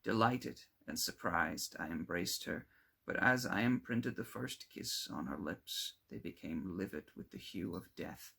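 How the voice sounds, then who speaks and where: A man narrates classic gothic fiction as an amateur audiobook reader. His voice sits fairly low and is husky, with a gravelly creak. He delivers the prose theatrically, leaning on key words and setting phrases apart. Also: huge pitch swings, measured pace, pushed and bright.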